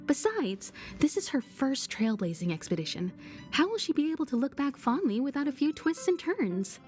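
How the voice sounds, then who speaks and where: A woman voicing a calm, elegant, gently teasing mentor in a video game. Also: high voice, normal speed. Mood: serene, reassuring. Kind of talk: English video game voice acting. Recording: voice over game music.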